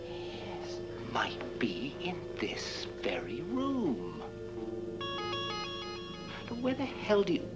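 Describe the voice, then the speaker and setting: An arch, scheming man in a horror-comedy film. His delivery is theatrical and excitable, moving widely in pitch. Loud music sits behind the voice. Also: very slow, long pauses, heavily acted. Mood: sly, gleeful. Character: sly, scheming man hunting for hidden money. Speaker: actor.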